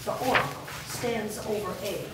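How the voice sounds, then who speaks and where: A woman's voice: mid-range voice, normal speed. A woman reading stage directions aloud as scripted dramatic narration. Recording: echoey room, distant mic, faint noise.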